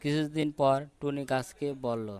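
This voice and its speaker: A man's voice: medium pitch, fairly even intonation, unhurried, darkish tone, clear, resonant tone. A man working as narrator, telling a children's cartoon story.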